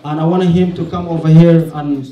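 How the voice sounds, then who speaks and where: A man's voice: fairly high pitch, flat delivery, normal speed, highs cut off. A man giving a casual talk at a showcase event, speaking over his slides, chatty and laughing often. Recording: echoey room from far off.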